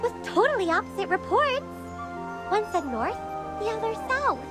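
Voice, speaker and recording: Very high-voiced young fantasy adventurer. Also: female voice, very animated, moderate pace, over loud music. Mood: puzzled. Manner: questioning, earnest. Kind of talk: scripted game voice acting.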